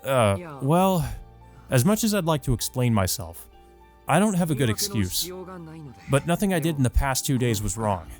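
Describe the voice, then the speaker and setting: A man's voice: medium pitch, very animated, normal speed, darkish tone, lightly acted. Guy doing an earnest tired teen brother from a visual novel, apologetic, casual, music underneath. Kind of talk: visual novel character read-aloud. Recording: music behind the voice.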